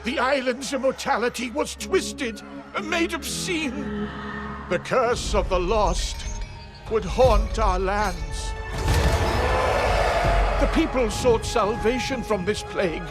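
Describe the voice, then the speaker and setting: An actor playing an anxious, guilt-ridden mayor in a video game, fretful and theatrical, with a melodramatic delivery. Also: male voice, very high-pitched, slow pace, long pauses. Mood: ominous, grave. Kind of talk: dramatic video game character acting. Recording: game music behind the voice. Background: music.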